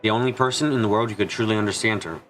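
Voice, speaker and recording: A man giving an earnest amateur dramatic reading of a visual novel, halting for dramatic pauses. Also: on the low side, a bit bright, poor audio. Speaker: amateur fan dubber. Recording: music behind the voice. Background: background music.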